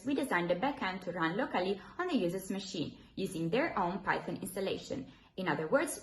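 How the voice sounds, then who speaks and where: A young woman, a student presenter, giving a scripted technical presentation. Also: mid-range voice. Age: young adult. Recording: roomy echo with faint hiss.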